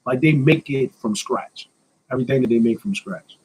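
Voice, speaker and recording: A man's voice: mid-range voice, moderate pace, gravelly, darkish tone, husky, low-quality recording. An American man in his thirties chatting casually as a live stream host, answering questions in a blunt, slangy, joking manner with some swearing. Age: 30s.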